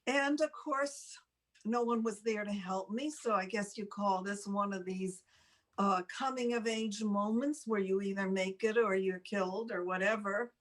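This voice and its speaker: An older woman telling a personal story casually, in a halting, rambling, self-deprecating way, as a talk show host. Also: mid-range voice.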